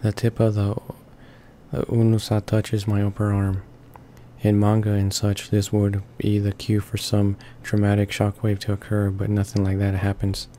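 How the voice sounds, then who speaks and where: A man reading a visual novel aloud as a let's play narrator, haltingly, stumbling and repeating words. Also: lowish voice, monotone, dark tone, slight background noise. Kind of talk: read-along of a visual novel. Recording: voice-over with game music behind.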